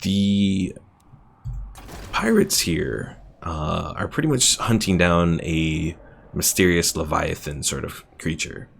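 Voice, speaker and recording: Male gaming streamer, casual play-by-play commentary; low, gravelly voice. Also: slow, slight background noise. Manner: chatty, reads chat aloud, thinks out loud.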